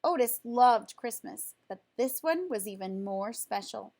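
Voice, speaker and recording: A woman reading a children's storybook aloud in an expressive, emphatic storytelling way. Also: a little high, a little slow. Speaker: storybook reader.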